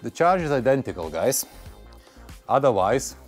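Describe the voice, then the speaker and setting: A man's voice: medium pitch, very animated, moderate pace, soft background music. A man in his forties showing and testing a battery in a friendly, casual way, talking to viewers outdoors on a lapel mic. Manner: friendly, addresses viewers as guys, non-native phrasing. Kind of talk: scripted voice-over intro, then casual on-camera chat. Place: outdoors on a stone barn patio. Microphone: clip-on lapel mic.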